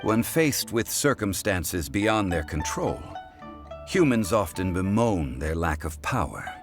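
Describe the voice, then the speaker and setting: An actor playing a calm, dignified ancient god living as a mortal in a game, speaking in a measured, formal and reflective manner. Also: male voice, low voice, heavily acted. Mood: grave, explanatory. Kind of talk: scripted game cutscene voice acting. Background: soft game music.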